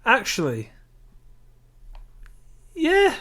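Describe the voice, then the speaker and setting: A man's voice: extremely high pitch, huge pitch swings, extremely slow, a bit bright. A man co-hosting a podcast, chatting casually about films and games. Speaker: podcast co-host.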